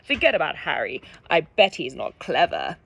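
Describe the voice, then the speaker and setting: A man's voice: fairly high pitch, huge pitch swings, moderate pace, creaky, fairly bright tone, slight background noise, pitched below the performer's own voice, full character voice, rough recording. A woman voicing a smug, flashy, boastful male scarecrow in a children's storybook reading. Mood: boastful, dismissive. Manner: cocky, bragging.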